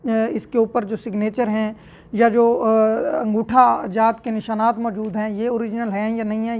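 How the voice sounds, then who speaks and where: The recording is dull and a little muffled. A woman with a Pakistani accent lectures on law in a fairly high voice. She is didactic, restating and unpacking each point for students. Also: a little mellow. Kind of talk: explanatory lecture, reading statute and explaining it.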